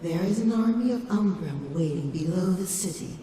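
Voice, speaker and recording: A woman's voice: on the low side, animated, normal speed, warm tone, lightly acted. A woman voicing a sinister, manipulative shadow creature in a comic dub, speaking in a coaxing, ominous manner. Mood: commanding. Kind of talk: dramatic comic dub acting. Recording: echoing hall reverb, distant, faint noise.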